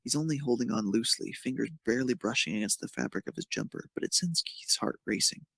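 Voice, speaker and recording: A man's voice: medium pitch, very animated, fast talker, vocal fry, fairly bright tone, husky, dry recording. A man reading scripted narration of a romantic fan fiction story.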